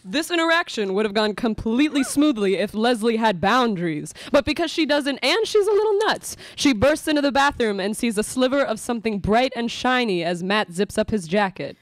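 High-voiced young woman narrating. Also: lively intonation, a little fast, few pauses. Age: young adult. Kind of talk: scripted live radio play narration. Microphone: stand microphone.

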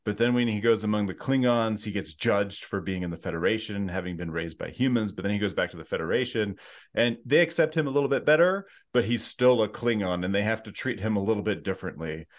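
Thirties guy from the us and france, lowish voice, big studio mic at home, animated, jokes about himself. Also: quick pace. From United States and France. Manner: animated storyteller, self-deprecating humor. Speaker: historical fiction author. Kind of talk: casual chat. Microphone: large studio mic with pop filter.

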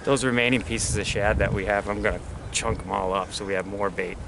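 A man's voice: on the low side, level tone, brisk, vocal fry. A man fishing outdoors, talking casually and picked up by someone else's camera. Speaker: angler on a fishing trip. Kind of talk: casual chat. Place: outdoors on a snowy waterfront. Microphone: picked up by another person's camera. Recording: noisy outdoor camera audio.

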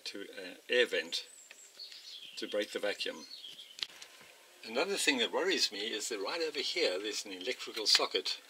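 A man's voice: on the low side, background noise. A man walking through a home plumbing repair in an outdoor workshop, explaining step by step with frequent ums and uhs. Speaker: DIY video maker. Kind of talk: hands-on home repair walkthrough. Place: outdoor workshop area by a house.

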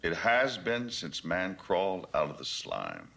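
An actor playing a domineering middle-aged American corporate tycoon, preaching in a grandiose theatrical film monologue with emphatic, deliberate phrasing. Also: male voice, mid-range voice, very animated, fairly bright tone. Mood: hushed persuasion.

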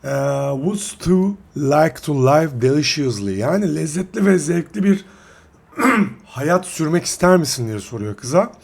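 Male film reviewer: slightly high, husky voice, chatty and slangy, stumbles and restarts his sentences. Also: big pitch swings, a little mellow. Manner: halting, self-correcting delivery. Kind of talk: casual, slangy film commentary.

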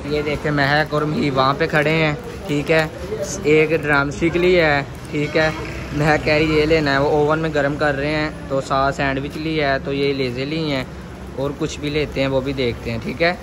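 A man's voice: a little high, little pitch movement, moderate pace. A young man vlogging on a phone camera, narrating an outing to a bakery and coaxing children along with short repeated prompts. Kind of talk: casual vlog narration. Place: outdoors on a street and inside a small bakery. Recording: clear street and shop noise behind.

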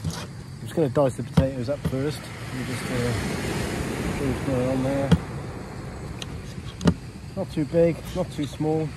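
A man's voice: medium pitch, expressive, a little slow, pauses often. A man, chatting casually and in an offhand way as a fishing and cooking vlogger. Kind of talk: casual chat to camera. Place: open shingle beach beside a shelter. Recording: noisy outdoor ambience, wind and waves.

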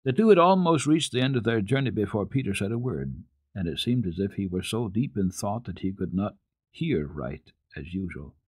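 Low voiced guy reading a kids book like a radio storyteller, very animated and dramatic. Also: moderate pace, husky, no room sound. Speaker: storyteller, children's radio host. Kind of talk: dramatic children's book narration.

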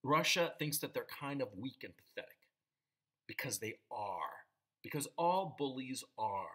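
A man's voice: medium pitch, unhurried. A man in his fifties from Chile, talking casually and emphatically to camera in a small room at home through a webcam mic. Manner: animated, gesturing with hands, emphatic. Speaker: vlogger. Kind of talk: casual opinion monologue to camera.

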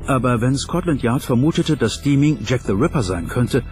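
A man's voice: mid-range voice, expressive, moderate pace, darkish tone, faint music behind. A man speaking a scripted first-person documentary voice-over as an investigator.

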